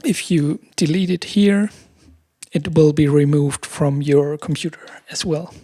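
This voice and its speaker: Bright-voiced man casually explaining software. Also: fairly high pitch, a little slow, dry recording, studio quality. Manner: hesitant, searching for words. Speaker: host of a music production tutorial. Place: room at home. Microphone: close desk microphone with headphones.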